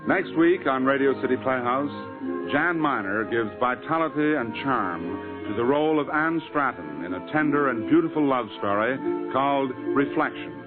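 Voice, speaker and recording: A man's voice: mid-range voice, animated, moderate pace. A man hosting a 1940s radio show from a script. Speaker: show director and host. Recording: tinny vintage broadcast, loud music behind.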